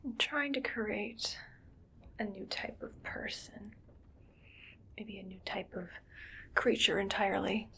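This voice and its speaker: A woman playing an eerily calm, gleefully morbid mad scientist in an ASMR roleplay, speaking in a hushed, breathy, musing whisper. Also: medium pitch, a little hiss or hum, heavily acted. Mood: softly menacing. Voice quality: breathy whisper with soft creaky voicing. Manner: slow, hushed, musing to herself.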